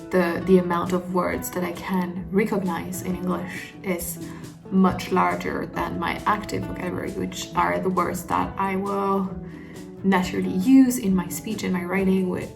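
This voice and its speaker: A woman's voice: on the low side, gravelly. A woman whose native language is French, talking casually and reflectively to her vlog, hesitant as she searches for words. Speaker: writing vlogger.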